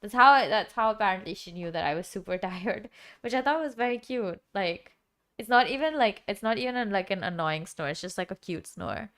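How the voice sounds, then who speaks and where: A woman's voice: mid-range voice, lively intonation, fairly quick, a bit bright. A young woman streamer with a dry, sick-sounding throat, chatting casually and rambling with lots of filler words. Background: background music.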